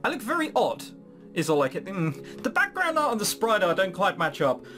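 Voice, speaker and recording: Animated, sardonic gaming commentator. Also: medium pitch, normal speed, soft background music. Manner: sardonic, incredulous, sweary. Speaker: gaming video host. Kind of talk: casual let's play commentary, reading game dialogue aloud.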